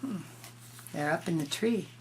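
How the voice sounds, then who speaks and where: Slow-paced older woman reading a picture book. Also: fairly low pitch, lively intonation, slight background noise, poor audio. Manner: gentle, explanatory, child-directed. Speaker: grandmother reading to a child. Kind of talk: picture-book read-aloud with informal asides.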